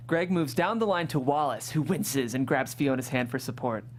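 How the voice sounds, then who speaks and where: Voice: mid-range voice, moderate pace, slight background noise. A narrator reading scripted stage directions aloud at a table read.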